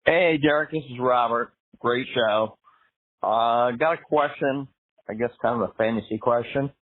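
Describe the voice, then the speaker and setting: A man's voice: medium pitch, measured pace. A man leaving a casual, slightly hesitant question as a voicemail caller to a sports show. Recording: narrowband phone voicemail.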